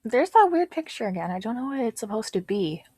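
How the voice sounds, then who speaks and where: Animated, high-voiced woman reacting. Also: brisk, slightly rough. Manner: animated, flippant. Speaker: reaction video host. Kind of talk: casual live reaction commentary.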